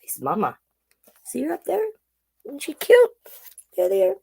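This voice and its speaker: Animated woman hosting children's storytime. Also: high voice, measured pace, creaky, a little hiss or hum. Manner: animated, sing-song, chatty asides. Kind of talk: live read-aloud storytime for children.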